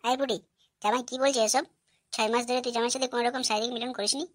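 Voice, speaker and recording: Cartoon mother-in-law, female performer: middle-aged, scolding, higher voice, very rapid. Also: fairly even intonation, a little hiss or hum, lightly acted. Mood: exasperated. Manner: scolding, questioning. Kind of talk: cartoon comedy dialogue.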